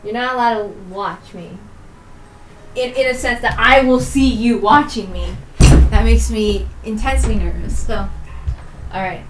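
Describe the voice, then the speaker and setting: A woman's voice: fairly high pitch, unhurried. A woman reading a story aloud on a stream, casual and a little self-conscious, recorded in a room. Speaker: reader and streamer. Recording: roomy, distant mic with faint noise.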